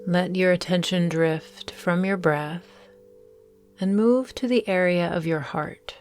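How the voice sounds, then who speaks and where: A woman guiding a mindfulness meditation in a calm, soothing, measured way, leaving long pauses between phrases. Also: on the low side, soft background music. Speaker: meditation guide. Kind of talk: guided mindfulness meditation narration.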